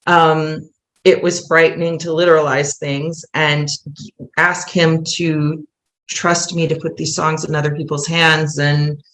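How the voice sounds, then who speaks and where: A woman's voice: low-pitched, little pitch movement, measured pace, a bit bright. A middle-aged American woman talking candidly and animatedly as a guest in a casual interview. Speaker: guest, playwright. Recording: roomy echo.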